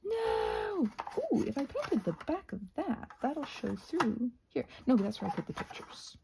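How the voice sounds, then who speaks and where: A woman's voice: sits high, big pitch swings, unhurried, creaky, background noise. A North American woman chatting casually while crafting, playful and scatterbrained, thinking aloud and often trailing off into murmurs. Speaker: craft and ASMR vlogger.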